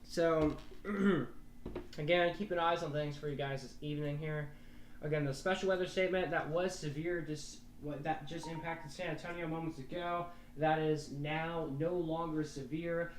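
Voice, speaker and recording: A man's voice: on the high side, steady tone, normal speed, roomy sound, far from the mic, a little hiss or hum, low-quality recording. A young man, a weather forecaster giving casual, rambling live storm commentary on a stream.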